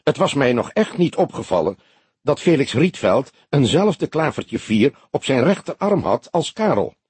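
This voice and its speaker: A man's voice: medium pitch, big pitch swings, normal speed, darkish tone, breathy edge, no room sound, voice pushed up. A man reading an audiobook, voicing an indignant, guarded woman under questioning who answers sharply and curtly, sometimes hesitantly. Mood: icy. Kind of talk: audiobook character dialogue.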